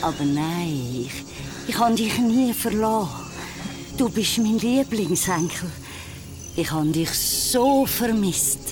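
A woman's voice: mid-range voice, expressive, slow pace, music in the background. An actress playing a dead grandmother's apparition in a radio drama, tender yet eerily insinuating and coaxing. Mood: tender, welcoming.

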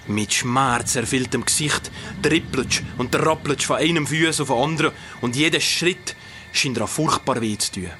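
A man narrating a dark mountain legend in an audio drama, solemn and dramatic. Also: medium pitch, moderate pace, close to an everyday voice. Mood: solemn, grave. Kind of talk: dramatic storytelling of a folk legend. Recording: clear background noise of ambience.

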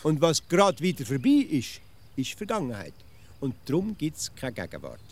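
A man's voice: on the high side, huge pitch swings, normal speed, darkish tone. An actor playing a stubborn, grumbling provincial Swiss amateur philosopher in a comic radio play, with a Swiss accent in his German. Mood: insistent. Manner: pedantic, grumbling, emphatic.

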